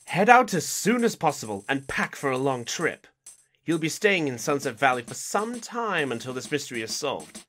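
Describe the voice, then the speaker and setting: A performer playing a brisk, officious bird creature who gives orders in an audio drama, formal and precise. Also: medium pitch, very animated, fairly bright tone, faint music behind. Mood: commanding. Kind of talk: audio drama character acting.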